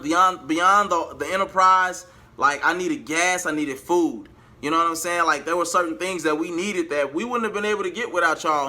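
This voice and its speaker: Bright, higher-voiced man vlogging. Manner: emphatic, repeats phrases for emphasis. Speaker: vlogger and R&B singer. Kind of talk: casual personal storytelling to viewers.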